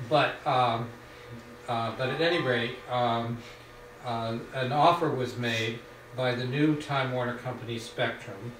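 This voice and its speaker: A man at a board meeting table, giving a halting report with many fillers, recorded from a distance in a small studio room. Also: mid-range voice, steady tone, a bit bright. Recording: roomy, distant, faint hiss.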